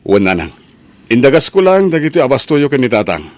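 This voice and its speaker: A man playing a sociable, dutiful young adult son in an Ilocano drama, with an expressive manner. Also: mid-range voice, brisk, no echo, natural voice. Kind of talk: Ilocano radio-style drama acting.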